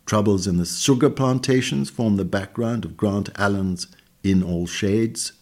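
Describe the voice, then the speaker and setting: Low-voiced man reading a literary essay. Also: measured pace. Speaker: reader of a magazine essay.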